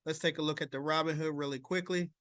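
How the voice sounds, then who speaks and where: A man giving informal market commentary as a stock vlogger, explaining in a halting way and checking in as he goes. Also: fairly high pitch, steady tone, fairly bright tone, dry recording, studio quality.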